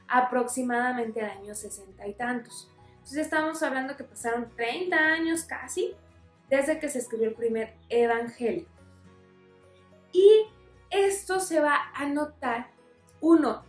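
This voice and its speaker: A woman's voice: high voice, roomy sound. A woman teaching a Bible lesson in a friendly way, explaining with everyday examples. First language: Spanish.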